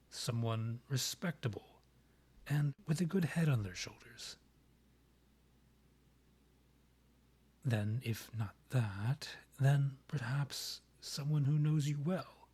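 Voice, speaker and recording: Man acting a breathy, haughty vampire monarch. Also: a little high, warm tone. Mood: coaxing, exasperated. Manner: formal, measured, archly condescending phrasing. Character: haughty male vampire monarch, proud rival and ex-lover. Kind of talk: scripted romantic roleplay ASMR audio drama.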